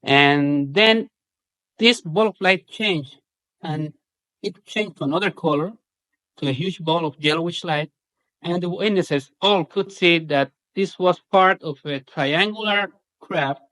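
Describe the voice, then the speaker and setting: Higher-pitched Puerto Rican man recounting incidents. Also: unhurried, sharp tone, no echo, rough recording. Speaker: UFO researcher giving a presentation.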